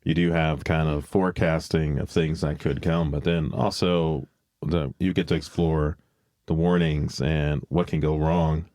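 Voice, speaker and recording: A man's voice: very deep voice, flat delivery, unhurried, a little mellow, no room sound, clean studio sound. A man hosting a podcast, explaining things in a casual discussion and thinking aloud as he goes. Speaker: podcast host.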